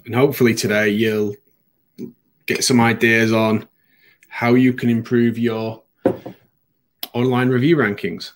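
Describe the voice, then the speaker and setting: A man presents a live online webinar in a loose, conversational way. He is a little sniffly from hay fever and often restarts his sentences with ums. His voice sits at a middling pitch for a man. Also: flat delivery, unhurried, long pauses, slight background noise. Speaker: webinar host.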